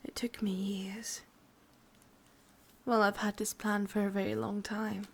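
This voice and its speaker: A woman with an English accent playing a sadistic, possessive, vain witch in a breathy, whispered ASMR roleplay, intimate and menacing. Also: mid-range voice, level tone, unhurried. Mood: possessive, wistful.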